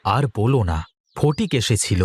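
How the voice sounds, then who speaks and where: Self-assured village husband, lively delivery, acted by a man. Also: medium pitch, a little slow, husky. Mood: dismissive. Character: confident village husband and elder-brother figure. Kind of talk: Bengali cartoon dialogue.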